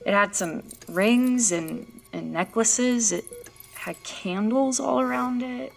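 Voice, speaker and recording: A woman's voice: a little high, vocal fry. A woman acting in a horror audio drama as a frightened, hesitant woman, with music under her voice. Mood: haunted recounting. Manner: halting, hesitant delivery. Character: frightened woman recounting a disturbing experience, searching for her dog. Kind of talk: horror audio drama, narration and acted scenes.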